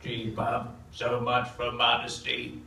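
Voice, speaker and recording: A man's voice: medium pitch, creaky, exaggerated voice. A man playing a dry, long-suffering, sarcastic supercomputer sidekick in a live stage comedy. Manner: deadpan, sarcastic, drawn-out. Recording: echoey, distant, noisy theatre with clicks.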